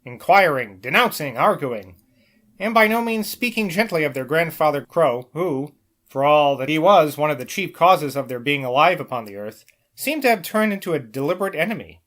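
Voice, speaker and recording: A man's voice: fairly high pitch, animated, moderate pace, a bit bright. A man narrating a novel as an amateur audiobook reader, with the occasional small stumble over the text. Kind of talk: scripted literary narration, novel read aloud.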